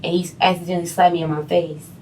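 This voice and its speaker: Low-voiced woman telling stories animatedly. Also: fast talker, recorded from a distance, slight background noise. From Boston. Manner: animated storyteller, repeats phrases. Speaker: guest singer and rapper. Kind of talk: casual interview chat.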